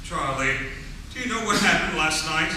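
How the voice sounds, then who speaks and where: A man's voice: on the high side, lively intonation, normal speed, pushed and bright. An actor playing a flustered, worried older male judge in a 1940s-style radio play on stage. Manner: hesitant, flustered. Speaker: community theatre actor. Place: community theatre stage performance. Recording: echoey hall, distant mic, faint noise.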